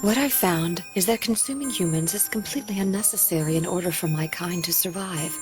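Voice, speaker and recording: An actress in an anime dub playing a calm, coolly detached female parasite in human form, speaking matter-of-factly over music. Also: on the low side, a little fast, lightly acted. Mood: cool, confessional.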